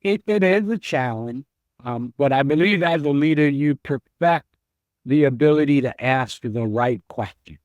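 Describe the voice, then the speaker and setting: A middle-aged man, a chief executive, answering interview questions in a slow, measured and reflective way. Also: mid-range voice, a little mellow, no room sound, muffled recording. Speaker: CEO guest.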